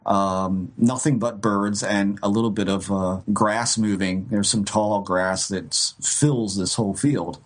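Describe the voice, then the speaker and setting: A man's voice: on the low side, steady tone, measured pace, hardly any pauses. A man co-hosting a podcast, telling a ghost-hunting story in a rambling, casual way with frequent restarts and light laughs. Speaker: co-host.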